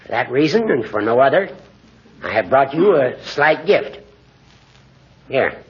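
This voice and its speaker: A man's voice: mid-range voice, very animated, a little slow. An American man playing a pompous, crotchety old judge in a 1940s radio comedy. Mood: stiff, indignant. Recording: muffled, noisy 1940s radio recording.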